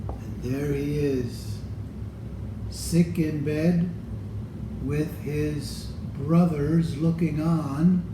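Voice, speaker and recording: A man reads a picture book aloud for children. His delivery is very slow and expressive, addressed straight to young listeners. The room is echoey, with clear noise behind the voice. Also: on the high side. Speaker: storybook reader. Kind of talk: children's read-aloud storytelling.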